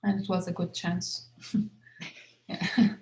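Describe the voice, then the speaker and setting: A woman's voice: on the low side, little pitch movement, takes it slowly, mellow, reverberant, a little hiss or hum, low-quality recording. A young woman, a film director whose first language is Arabic, answering questions at a festival Q&A with many fillers and restarts. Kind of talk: festival Q&A conversation.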